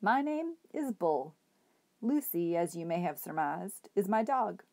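Brief: woman doing a kindly enormous tramp, formal phrasing, slow and warm-hearted, storybook reading. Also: high-pitched, big pitch swings, creaky, heavily acted. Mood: genial. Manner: courtly, gently formal, unhurried. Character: enormous bearded genial male hobo.

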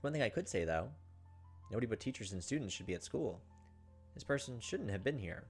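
Low-voiced man reading a game story aloud. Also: animated, fairly quick, husky. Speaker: gaming video host. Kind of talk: reading visual novel narration aloud with side commentary. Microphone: desk microphone close up. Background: game music.

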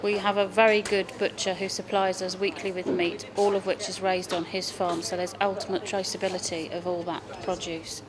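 A middle-aged woman who co-owns a farm shop, speaking in a brisk, matter-of-fact way in a promotional interview, recorded indoors in the shop. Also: lowish voice, flat delivery, crowd noise.

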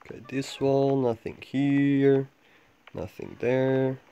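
A man giving low-key, casual gameplay commentary, thinking aloud in short fragments as he guides viewers. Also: fairly even intonation, slow, mellow, muffled recording. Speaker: gamer making a walkthrough guide. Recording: clearly noisy home recording with clicks.

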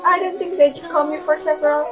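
A woman's voice: high-pitched, fairly even intonation, fast talker, faint music behind, natural voice. A young woman playing a meek, kind-hearted stepdaughter in an amateur readers theater, timid and apologetic. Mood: pleading. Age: young adult. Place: indoors at home, video call.

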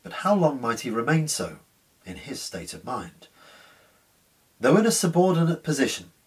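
A man in his fifties narrates a literary story with measured, earnest expression. His voice sits at a middle pitch. He is recorded at home, a little way from the microphone. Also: big pitch swings, breathy edge. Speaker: actor reading a short story. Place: small living room at home. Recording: slightly distant room microphone.

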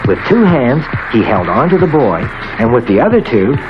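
A man narrating a children's cartoon story in an expressive, scripted storytelling manner. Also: mid-range voice, normal speed. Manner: expressive storytelling, acts out the characters' quotes. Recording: dull lo-fi old cartoon soundtrack, loud music.

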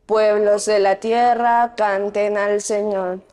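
A woman's voice: mid-range voice, little pitch movement, takes it slowly, darkish tone. A young woman reading scripture at Mass as a lector. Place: indoor chapel. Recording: long chapel reverb.

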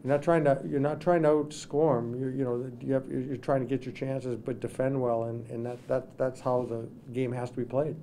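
A middle-aged male hockey coach speaks into table microphones at a postgame press conference. His voice is mellow and darkish, with a faint hum behind it. He talks in halting stretches, restarting sentences and leaning on "you know.". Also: mid-range voice, flat delivery. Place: press room with sponsor backdrop. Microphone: table microphones in front.

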